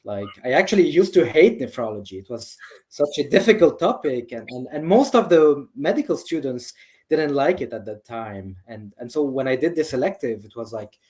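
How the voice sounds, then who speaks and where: A man's voice: mid-range voice, expressive, moderate pace, warm tone. A man from a Lebanese family who grew up in several countries, giving enthusiastic, conversational answers as a medical expert guest. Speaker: nephrologist, interview guest.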